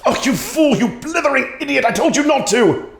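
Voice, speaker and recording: A man playing a brilliant detective who gives crisp, commanding explanations and grows excitable in an audio drama. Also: very high-pitched, normal speed, exaggerated voice. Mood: frantic. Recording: echoey room.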